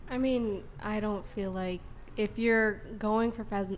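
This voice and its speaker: A woman's voice: medium pitch, steady tone, slow pace, a little hiss or hum. A young woman guest on a panel discussion, arguing firmly with hedging fillers.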